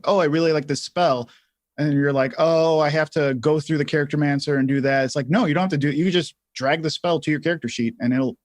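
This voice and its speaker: A man co-hosting a livestreamed tutorial, talking informally and haltingly as he thinks aloud while explaining. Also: fairly high pitch, a little mellow, no room sound. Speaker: livestream co-host and software instructor. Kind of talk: casual live tutorial talk.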